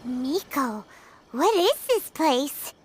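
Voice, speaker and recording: Teeny squeaky fairy sidekick, childlike n easily spooked, anime game, slow drawn out words. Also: female voice, very animated, a little hiss or hum, full character voice. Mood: scared. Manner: exaggerated, refers to self by name. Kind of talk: anime video game voice acting.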